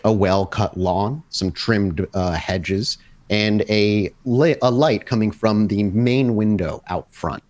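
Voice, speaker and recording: A man narrating a tabletop roleplaying game as storyteller, describing scenes off the cuff with pauses. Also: fairly low pitch, darkish tone.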